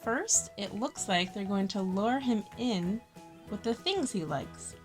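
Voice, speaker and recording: A woman's voice: medium pitch, lively intonation, takes it slowly, faint music behind. A woman hosting a children's story time, reading a picture book expressively with friendly asides and stressed key words.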